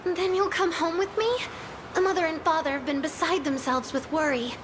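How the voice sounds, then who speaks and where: A woman's voice: very high-pitched, steady tone, brisk, noisy background. A woman playing a loyal, caring young noblewoman and devoted sister in a video game, speaking with polite formality. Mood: concerned, polite. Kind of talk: scripted video game voice acting.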